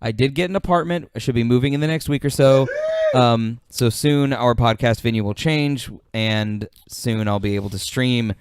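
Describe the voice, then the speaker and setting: A man hosts a casual gaming podcast in a medium-pitched voice. He jokes in loose slang and swears freely. The sound is dry and close, with little room to it. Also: normal speed, hardly any pauses, clean tone, no room sound. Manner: joking, profane, slangy. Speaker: podcast host.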